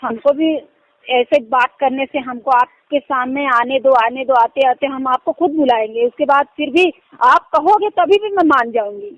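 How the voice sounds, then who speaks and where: A woman's voice: high-pitched, moderate pace. A woman talking casually and insistently on a private phone call, often checking that the listener understands her. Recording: muffled lo-fi phone call recording.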